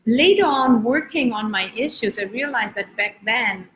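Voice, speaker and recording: A woman from Spain, raised in Germany, giving an earnest, encouraging motivational talk as a life coach, slowing down to stretch key words. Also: mid-range voice, a bit bright, slight background noise, poor audio. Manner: earnest, encouraging, uneven pace with drawn-out words. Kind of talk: live-streamed motivational talk.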